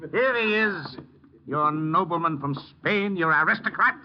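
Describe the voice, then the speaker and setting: A man's voice: high-pitched, sing-song, slow pace, full character voice. An actor playing a cruel rich man in an old radio drama, announcing mockingly like a ringmaster. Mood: mocking triumph. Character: gloating, cruel rich showman presenting his victim. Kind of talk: 1940s-50s radio drama acting. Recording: dull old radio recording, music behind.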